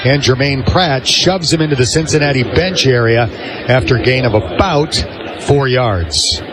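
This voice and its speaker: A man's voice: medium pitch, a little slow, noisy background. A man doing live play-by-play football commentary on the radio as the announcer.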